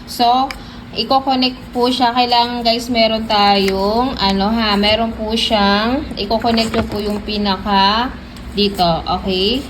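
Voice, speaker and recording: Higher-pitched Filipino woman, noisy recording. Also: fairly even intonation, takes it slowly. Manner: chatty, repeats filler tags and addresses viewers. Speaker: product reviewer and live seller. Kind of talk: casual product demo and sales pitch.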